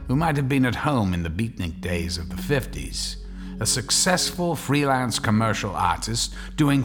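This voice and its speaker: A man narrating a horror story in a theatrical, dramatic style with deliberate phrasing. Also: on the low side.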